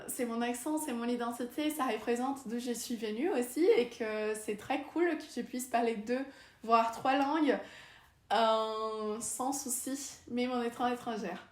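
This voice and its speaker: A woman's voice: a little high, fairly even intonation, a little slow. Brazilian woman speaking French, echoey room. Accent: Brazilian Portuguese. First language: Portuguese. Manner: hesitant, many fillers and restarts. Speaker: vlogger. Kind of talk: casual talk to camera.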